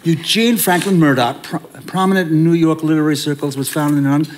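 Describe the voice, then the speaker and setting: A man's voice: on the high side, fast talker, natural voice. A man playing a nervous young husband who stammers and protests in an old-time radio drama. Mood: flustered. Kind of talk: 1940s radio acting.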